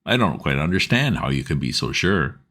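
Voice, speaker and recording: A man voicing a keen, composed gentleman detective who speaks in a measured, coaxing way. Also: very deep voice, very animated, full character voice.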